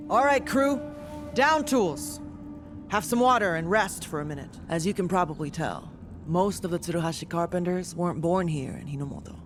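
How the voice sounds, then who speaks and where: Casting: video game crew boss, a travelling master carpenter, higher-pitched, businesslike and candid, with music underneath. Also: male voice. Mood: calling out orders. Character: brisk foreign-born master carpenter, leader of a travelling crew. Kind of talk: video game cutscene acting.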